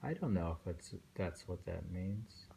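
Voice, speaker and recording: A man reading poems aloud informally and commenting on them in a halting, musing way. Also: sits low, expressive, gravelly, warm tone, a little hiss or hum. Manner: halting, musing, with pauses and self-questioning. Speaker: reader and host discussing poems.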